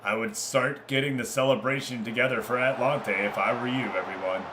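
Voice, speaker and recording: A man's voice: medium pitch, steady tone, a little fast. Man calling football play-by-play over background noise. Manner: informal, chatty, calling passes name by name. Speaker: streamer commentating a football management game. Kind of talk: live play-by-play mixed with casual chat to viewers.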